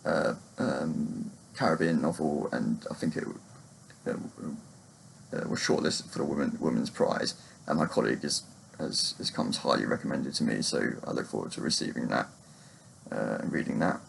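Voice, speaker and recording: A man with a gravelly, husky voice, chatting casually about books in a rambling, hesitant, self-deprecating way. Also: very deep voice, normal speed, a little hiss or hum. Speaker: book vlogger.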